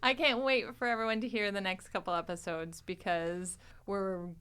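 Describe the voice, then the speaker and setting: A woman's voice: mid-range voice, steady tone, normal speed, fairly bright tone, smooth. A woman hosting a podcast, chatting casually and expressively with enthusiasm about an audio drama. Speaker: podcast host.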